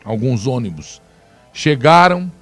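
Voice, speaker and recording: A man giving opinionated commentary, slowing down to stress his points with emphatic, deliberate delivery. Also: medium pitch, very animated, a bit bright, no echo, faint music behind. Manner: emphatic, slows down to stress words. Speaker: commentator presenting video clips.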